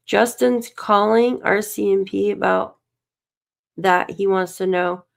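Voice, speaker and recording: A woman's voice: mid-range voice, steady tone, takes it slowly, vocal fry. A tired woman hosting a casual livestream, reading documents aloud and muttering to herself as she searches, coughing and losing her voice. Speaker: livestream host.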